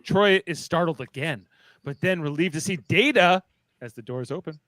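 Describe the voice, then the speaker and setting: A man's voice: on the high side, normal speed, fairly bright tone, dry recording. A man narrating stage directions in a playful, dramatic way for a live fan script reading.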